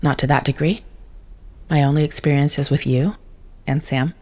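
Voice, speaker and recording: A woman's voice: deep voice, fairly even intonation, moderate pace, a little mellow. Woman acting detached female telepath, formal, precise diction, coolly logical, controlled, audio drama. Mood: cool, guarded.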